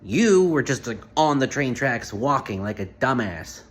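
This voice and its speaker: A man giving comedic reaction commentary with sarcastic, deadpan quips. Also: medium pitch, measured pace, very noisy.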